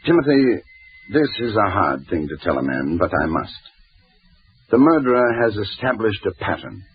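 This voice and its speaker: An actor playing a grave, intense Scotland Yard inspector, terse and portentous, in 1940s radio crime drama style. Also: male voice, lowish voice, sing-song, normal speed, slightly rough. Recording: tinny vintage radio broadcast.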